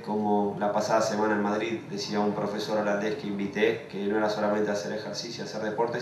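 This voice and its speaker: A middle-aged man with an Argentine accent, a sport management professor opening a conference session in a measured, hesitant way with frequent pauses. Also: on the low side. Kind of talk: conference lecture introduction. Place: large lecture hall. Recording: echoey hall from far off, faint noise.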